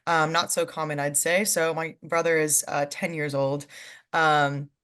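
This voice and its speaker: A young woman in her twenties with a low-pitched voice chats over a video call. She talks in a loose, friendly way and leans on "um" a lot. She laughs easily as she goes. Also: flat delivery, measured pace, fairly bright tone, clean tone. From California. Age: 20s. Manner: chatty, many "um"s, laughs easily. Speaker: guest panelist. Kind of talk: casual chat on a video call.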